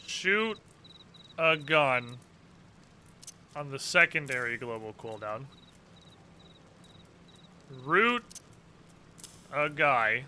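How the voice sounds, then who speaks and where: A man's voice: medium pitch, expressive, drawn-out words, pauses often, pushed and bright, slight background noise. A man giving casual live commentary as he plays a game, muttering to himself and swearing freely. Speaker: game streamer.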